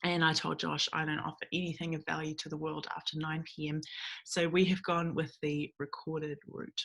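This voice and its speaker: Young kiwi woman chatting at home, kinda low voice, lots of ums. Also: moderate pace, creaky, poor audio. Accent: New Zealand. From Fiji. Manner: chatty, relaxed, frequent ums. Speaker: guest speaker at a ministry meeting. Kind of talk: recorded talk to a church group.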